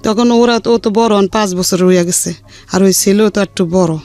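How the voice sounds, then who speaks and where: A woman's voice: medium pitch, steady tone, moderate pace, darkish tone, soft background music. A middle-aged woman with a colloquial Bangladeshi accent giving a rambling, plain-spoken personal account as an interview guest. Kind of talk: personal life-story account.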